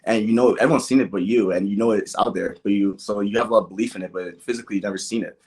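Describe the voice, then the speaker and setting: A man's voice: fairly low pitch, fairly even intonation, rapid-fire, darkish tone, husky. A young man from Nigeria who grew up in the American Midwest, talking casually as a podcast guest and peppering his stories with "like" and "you know". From born in Nigeria, grew up in the American Midwest. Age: young adult. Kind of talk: casual interview, personal storytelling.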